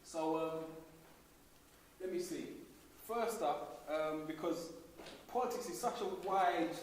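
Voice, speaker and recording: A man's voice: a little high, slow pace, recorded from a distance, slight background noise. Man talking in an echoey hall. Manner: casual, explanatory, street slang. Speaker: speaker giving a talk to young people. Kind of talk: informal public talk. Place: large hall. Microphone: distant recorder.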